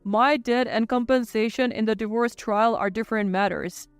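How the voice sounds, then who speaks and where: A woman's voice: a little high, normal speed, smooth, no room sound, soft background music, lightly acted. A woman playing a selfish, dismissive ex-husband with a curt manner in a narrated family story. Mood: defiant. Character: selfish, defiant ex-husband, an adult man, dismissive.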